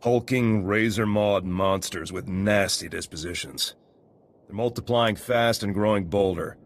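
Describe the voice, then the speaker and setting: Gruff low-voiced guard. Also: male voice, a little slow. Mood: grim. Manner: terse, clipped sentences. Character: gruff, dutiful male guard of ancient ruins. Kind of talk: video game dialogue acting.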